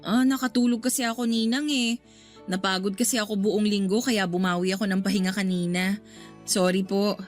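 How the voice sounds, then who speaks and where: A woman's voice: medium pitch, steady tone, brisk, soft background music, natural voice. A woman playing a polite, put-upon young Filipino goddaughter in a radio drama, restrained and reluctantly obliging. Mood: apologetic.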